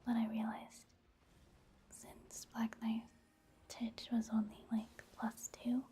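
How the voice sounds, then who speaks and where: A woman giving soft-spoken ASMR commentary over gameplay in a breathy, hushed whisper, unhurried. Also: fairly high pitch, monotone. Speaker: ASMR video creator narrating her gameplay.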